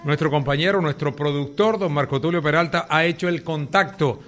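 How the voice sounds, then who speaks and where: Higher-voiced male radio host in a studio. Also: a little fast, a bit bright, soft background music. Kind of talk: radio interview.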